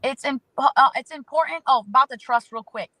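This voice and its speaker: A woman with a bright, slightly high voice offers spiritual guidance as an astrology guest. She speaks emphatically and repeats phrases in rhythmic lists, heard close and dry. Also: fairly quick, dry recording, rough recording. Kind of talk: spiritual advice in conversation.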